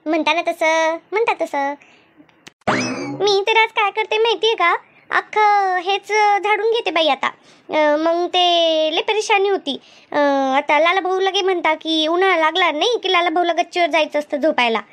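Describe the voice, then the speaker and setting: A woman voicing a chatty, good-humoured village gossip in an animated comedy, with a rural Maharashtrian accent and exclaiming, colloquial talk. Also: very high-pitched, normal speed. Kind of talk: animated cartoon comedy dialogue.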